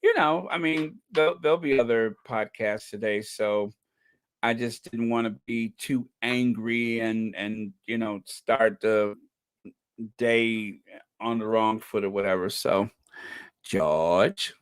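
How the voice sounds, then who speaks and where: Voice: mid-range voice, steady tone, unhurried, clean tone. A live-stream host signing off in casual, rambling chat, joking and chuckling and calling chat viewers by name.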